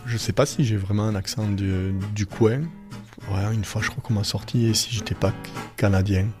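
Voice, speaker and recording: A man's voice: fairly low pitch, steady tone, moderate pace, over music. A man speaking casually and a little unsure of himself.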